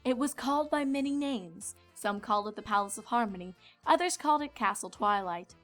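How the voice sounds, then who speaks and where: A woman's voice: fairly high pitch, animated, a little fast, a bit bright. A woman reading scripted storybook narration as the narrator. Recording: music behind the voice.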